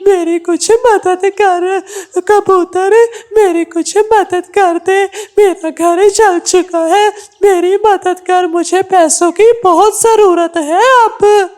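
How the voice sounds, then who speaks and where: A woman voicing a mocking, mischievous male crow in a children's cartoon, with a taunting, drawn-out delivery. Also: extremely high pitch, fairly even intonation, darkish tone. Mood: fake pleading. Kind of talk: Hindi kids' cartoon dubbing.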